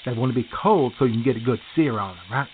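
A man's voice: mid-range voice, expressive, fast talker, narrow, tinny audio, slight background noise. A man presenting a chatty how-to radio segment in an emphatic, bragging, instructive manner.

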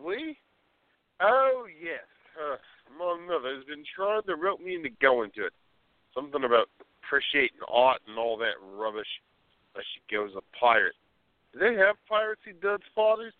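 An actor playing a playful, teasing schoolteacher with a droll, theatrical manner in a radio play. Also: male voice, a little high, big pitch swings, normal speed, no echo. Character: playful bearded schoolteacher, a woman's pretend boyfriend. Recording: narrow phone-like sound.

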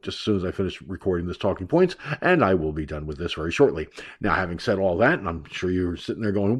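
A man's voice: low-pitched, breathy edge. A man hosting an online talk radio show, ranting brashly and profanely about politics, his voice stuffed up and nasal from allergies.

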